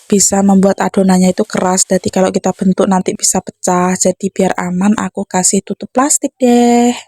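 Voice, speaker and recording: A woman's voice: mid-range voice, little pitch movement, a little fast, warm tone, no room sound, clean studio sound. A young woman narrates a home cooking vlog in a casual, chatty way, addressing viewers like friends.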